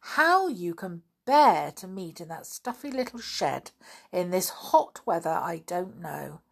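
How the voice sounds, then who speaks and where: Exasperated mother, played by a woman, children's storybook, brisk, firm, chiding. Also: lowish voice, big pitch swings, gravelly, exaggerated voice. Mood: exasperated, fond. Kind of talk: children's storybook read aloud.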